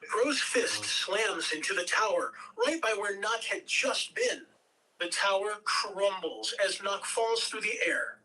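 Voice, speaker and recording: Bright-voiced woman narrating a script. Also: mid-range voice, expressive, distant mic, poor audio. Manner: measured, occasional stumbles over words. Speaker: narrator reading stage directions. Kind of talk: script narration at a table read.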